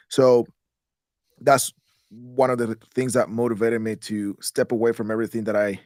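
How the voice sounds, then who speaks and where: A man's voice: fairly low pitch, no echo, studio quality. A man in his thirties from Guatemala, a guest in a podcast interview, talking in a loose, rambling way with many restarts. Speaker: guest, real estate investor.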